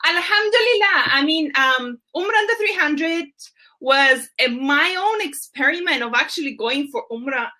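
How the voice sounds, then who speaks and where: A woman from Bulgaria talks as a guest in an easygoing chat, enthusiastic and full of fillers. Her voice is high and bright. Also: lively intonation, normal speed. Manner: enthusiastic, warm storytelling with many fillers. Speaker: travel blogger guest. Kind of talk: casual chat.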